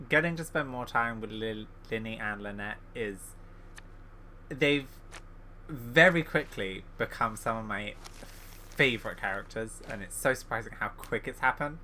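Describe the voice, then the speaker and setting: A man's voice: medium pitch, sharp tone, slight background noise. A man giving casual, enthusiastic let's-play commentary as a gaming YouTuber.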